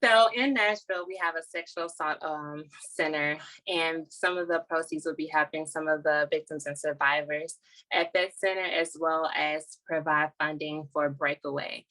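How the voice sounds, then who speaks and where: A woman's voice: sits low, fairly even intonation, moderate pace, pushed and bright, rough recording. A woman speaking earnestly as a nonprofit founder, explaining her work as an interview guest.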